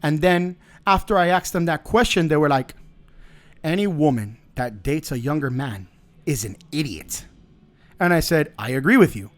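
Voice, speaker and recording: Blunt, profane man with a higher voice. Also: lively intonation, moderate pace. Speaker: podcast host. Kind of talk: casual monologue.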